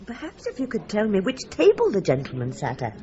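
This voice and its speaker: An actress playing a confident, talkative restaurant manageress in a radio drama. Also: female voice, mid-range voice, huge pitch swings, a little fast, mellow. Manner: assured, talkative. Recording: dull lo-fi audio.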